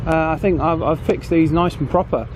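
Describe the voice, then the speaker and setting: A man's voice: a little high, fairly even intonation, normal speed, a little mellow, slight background noise. A man hosting a casual hands-on demonstration, chatting and thinking aloud as he goes. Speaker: host of radio repair channel.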